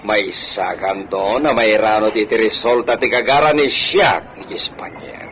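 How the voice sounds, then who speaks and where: A man playing a wealthy, courteous hacienda gentleman in an Ilocano radio drama, formal and measured, peppering his speech with Spanish. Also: fairly low pitch, a little hiss or hum, close to an everyday voice. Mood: genial.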